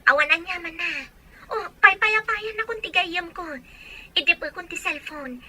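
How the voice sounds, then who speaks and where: A woman's voice: extremely high pitch, normal speed. A woman acting a reassuring young friend with an Ilocano accent in a radio drama. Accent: Filipino, Ilocano. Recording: crowd chatter behind the voice.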